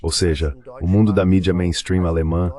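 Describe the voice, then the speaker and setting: Deep-voiced male interpreter voice-over. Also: level tone, moderate pace, dark tone. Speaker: voice-over interpreter for a panel guest. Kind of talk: translated voice-over of a panel discussion.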